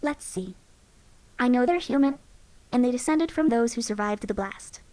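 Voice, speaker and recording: A woman voicing a calm, wry female companion in a game, delivering voice lines in an offhand way. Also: fairly high pitch, moderate pace, no echo, natural voice, poor audio.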